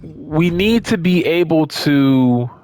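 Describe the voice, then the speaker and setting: A man's voice: on the high side, expressive, slow pace, a little mellow, no room sound. Man giving casual, emphatic game commentary, dry sound. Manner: emphatic, repeats words for stress. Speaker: gaming video creator.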